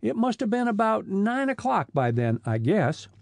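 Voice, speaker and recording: A man's voice: high voice, big pitch swings, moderate pace, gravelly, no room sound, studio quality, pitched above the performer's own voice, exaggerated voice. A man doing a rambling, plodding truck driver. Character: slow-witted middle-aged male truck driver, long-winded.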